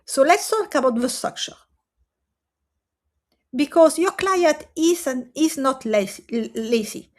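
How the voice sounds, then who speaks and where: Woman, fairly high voice, earnest, emphatic, direct-to-camera coaching talk. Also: lively intonation, a little mellow. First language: Italian and French. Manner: earnest, emphatic, with rhetorical pauses.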